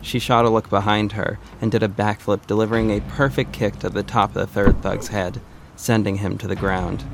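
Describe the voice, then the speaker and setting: A man narrates an audio drama in a low voice with plenty of creak. His storytelling is scripted, measured and deliberate, with music clearly underneath. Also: rough recording.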